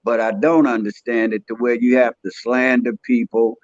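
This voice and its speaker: A man in his sixties talks plainly and earnestly, with streetwise turns of phrase. His voice sits at a middle pitch. The sound is dry and a little dull, like a lo-fi recording. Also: little pitch movement, moderate pace, no room sound. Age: 60s. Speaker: podcast guest. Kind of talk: casual conversation.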